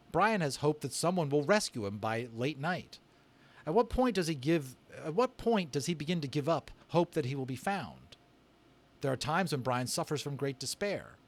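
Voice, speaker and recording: A man reading study questions aloud in an informal, conversational way, adding his own thoughts as he goes. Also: medium pitch. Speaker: voice actor and book reader hosting a read-aloud. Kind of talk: reading discussion questions aloud with casual asides.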